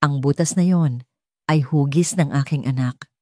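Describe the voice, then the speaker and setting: A woman voicing a remorseful, pleading mother in an audiobook romance, calm but grieving, with halting phrases. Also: deep voice, no echo, clean studio sound, close to an everyday voice. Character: remorseful pleading mother, a former concert pianist.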